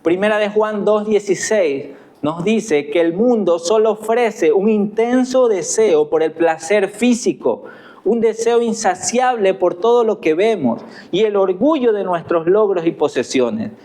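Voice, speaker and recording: A man with an Ecuadorian accent delivers an earnest sermon from the pulpit. His voice sits high for a man, and he leans on rhetorical questions to his listeners. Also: expressive, unhurried, a little mellow. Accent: Ecuadorian Spanish. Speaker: pastor.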